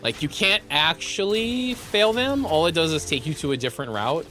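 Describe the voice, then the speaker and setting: A young man streaming live, chatting casually; he is excitable, laughs often and is losing his voice. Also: on the high side, normal speed, soft background music. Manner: excitable, laughs often, repeats words in bursts.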